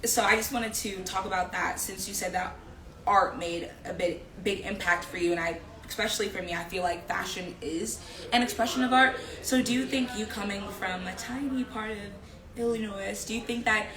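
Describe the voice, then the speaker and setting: A woman's voice: medium pitch, normal speed, a crowd in the background. A young woman hosting a casual interview, affirming her guest and speaking with emphasis. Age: young adult. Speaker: live series host, interviewer. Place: indoor room, live social media stream. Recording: echoey room with people murmuring behind.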